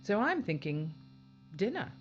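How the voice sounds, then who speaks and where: A woman playing a weary, determined spaceship pilot and commander in a science fiction audio drama, brisk and businesslike with a wry edge. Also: mid-range voice, big pitch swings, dull audio, a little hiss or hum. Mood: relieved.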